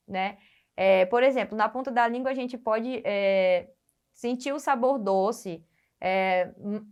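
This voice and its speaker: A Brazilian woman with a fairly high voice teaches a biology lesson. She explains step by step, often pausing on fillers and restarting her phrases. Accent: Brazilian Portuguese. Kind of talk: recorded classroom lesson.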